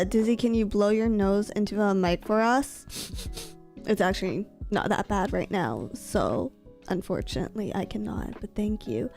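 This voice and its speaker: A sick woman streamer speaks softly right into a close mic, her husky voice congested and mellow. She chats casually and reads out donations with a quiet, worn-down delivery. Faint music plays under her. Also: mid-range voice. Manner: soft-spoken, mic held close. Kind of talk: casual chat reading donations. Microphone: close desk mic.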